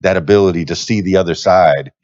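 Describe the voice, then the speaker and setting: A man's voice: sits low, quick pace, a little mellow, no echo. A man from Miami with Cuban parents, hosting a casual podcast chat, hesitant and stammering, often calling his guest "man". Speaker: podcast host. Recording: dry, dull lo-fi audio.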